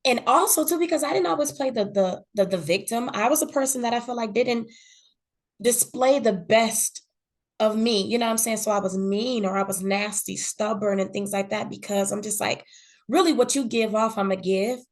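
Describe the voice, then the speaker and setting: Earnest woman, fairly high voice, candid chat. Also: normal speed. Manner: earnest, repeats words, often says "you know what I'm saying". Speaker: podcast guest. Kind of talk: candid conversational talk.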